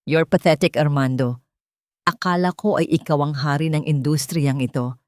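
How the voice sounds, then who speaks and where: A woman's voice: deep voice, normal speed, a little mellow, dry recording, studio quality, natural voice. A woman voicing a bitter, scornful young mistress in a story drama, biting and disdainful. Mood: bitter contempt.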